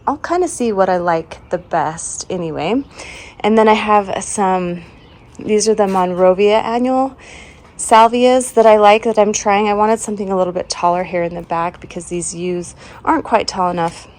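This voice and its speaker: A woman's voice: mid-range voice, moderate pace, a little mellow. Sleepy-voiced woman touring her garden. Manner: chatty, laughs often, trails off mid-sentence. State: sleepy and recovering from a cold. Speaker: home and garden vlogger. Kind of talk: casual garden tour walk-through. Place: outdoors in a front yard garden.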